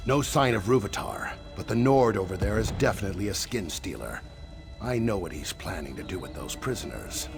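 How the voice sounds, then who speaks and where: Low, gravelly, shifty lizard-man. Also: lively intonation, fairly quick. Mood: furtive, wary. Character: shifty, self-preserving male lizard-folk relic hunter with a tail. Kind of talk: fantasy video game voice acting. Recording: music behind the voice. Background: game music.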